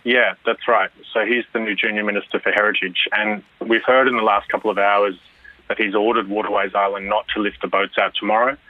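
A man calling in to a radio show, explaining his situation calmly and matter-of-factly. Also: fairly low pitch, brisk, no echo. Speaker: caller. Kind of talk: radio phone-in call. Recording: dry tinny phone line.

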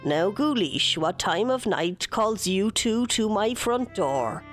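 A woman's voice: medium pitch, expressive, takes it slowly, soft background music. A woman voicing a wise old man in a storybook tale, dry and knowing.

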